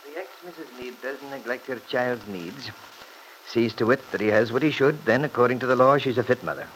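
An actor as a grave, shrewd attorney, faint hiss. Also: male voice, medium pitch, fairly bright tone, lightly acted. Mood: grave, measured. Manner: probing, dry, deliberate. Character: shrewd, grave, dryly sardonic male Scottish attorney. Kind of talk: old-time radio serial acting. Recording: noisy old radio broadcast recording.

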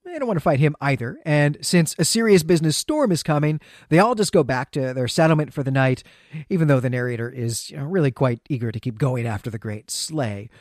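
A man's voice: fairly high pitch, animated, a little fast, darkish tone, dry recording, clean studio sound. A man co-hosting a podcast, talking through a story in a conversational, explanatory way and often checking in with his listener. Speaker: podcast co-host. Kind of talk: conversational literary recap and discussion.

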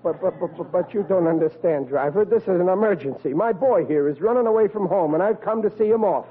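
A man's voice: high voice, fast, narrow, tinny audio, natural voice. A man playing a bumbling, blustering family father, stammering and flustered, in 1940s radio comedy style. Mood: sheepish pleading. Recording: thin, band-limited old radio recording with hiss.

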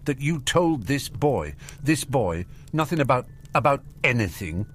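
A man's voice: animated, normal speed, slight background noise. A man voicing a huge, hot-tempered but kindly giant, in an audiobook story. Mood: angry. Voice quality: gruff, booming. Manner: rustic, blunt, informal.

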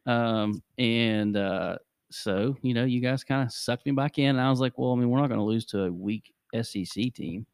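A man's voice: medium pitch, moderate pace, a little mellow, clean tone, no room sound, studio quality. A man in his forties hosting a casual sports chat, rambling and hesitant, with lots of fillers. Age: 40s. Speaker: podcast host.

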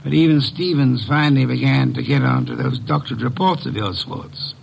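An actor in an old-time radio drama playing a portly, middle-aged private detective, courtly, pompous and dryly sardonic. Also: male voice, medium pitch, normal speed, gravelly, slight background noise, low-quality recording. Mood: accusing.

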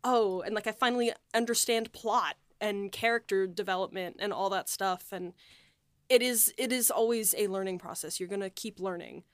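A woman's voice: mid-range voice, normal speed, no room sound. A woman writer and producer in a casual behind-the-scenes discussion, talking in a reflective, rambling way.

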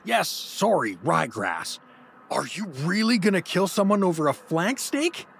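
A man's voice: high-pitched, big pitch swings, a little slow, vocal fry, fairly bright tone, husky, exaggerated voice. A man playing a hapless, nervous, wry everyman hero in a comedic fantasy audio drama, with an incredulous conversational manner.

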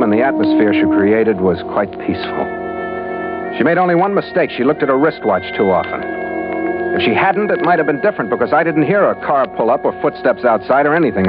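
An actor playing a tough, wry detective in old radio noir style, speaking and narrating in a laconic, deadpan way. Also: male voice, mid-range voice, close to an everyday voice. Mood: wry narration. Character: tough, wry male hardboiled detective narrating his adventure. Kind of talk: 1940s-50s radio noir acting and first-person narration. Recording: muffled old radio recording, loud music behind.

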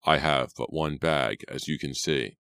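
A man's voice: a bass voice, measured pace, vocal fry, a bit bright, dry recording, studio quality, exaggerated voice. A man voicing a friendly, open young man of eighteen who speaks cheerfully.

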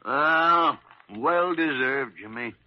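An actor playing a crafty, meddling old senior doctor in a vintage radio drama, folksy and theatrical, with a hesitant, wheedling delivery. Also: male voice, fairly high pitch, slow pace. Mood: flattering. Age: older. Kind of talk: 1940s radio drama acting. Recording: muffled vintage radio recording.

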